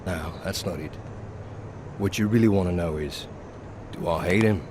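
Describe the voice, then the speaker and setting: Very deep actor's male voice, weary philosophical pilot, blunt, dry wit, video game drama, noisy urban background. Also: moderate pace, close to an everyday voice. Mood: bitter reflection. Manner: blunt, wry. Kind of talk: video game cutscene acting.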